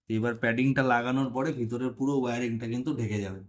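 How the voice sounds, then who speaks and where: A man's voice: medium pitch, fairly even intonation, racing. A man narrating a hands-on motorcycle vlog tutorial casually, talking viewers through each step in an informal way. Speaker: motorcycle vlogger.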